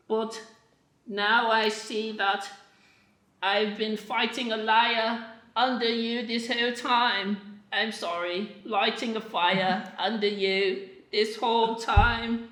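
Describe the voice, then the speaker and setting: A woman playing a muddled, suspicious child who speaks haltingly and trips over words in an improvised radio play. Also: a little high, steady tone, a bit bright. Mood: earnest, muddled. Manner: halting, tongue-tangled. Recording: roomy, echoey voice recording.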